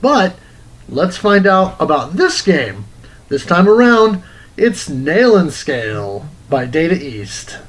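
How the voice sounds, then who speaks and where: A man of forty reading a scripted retro game review as host, in a deliberate, articulate, wordy way. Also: on the high side, sing-song, a bit bright, rough recording. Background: music.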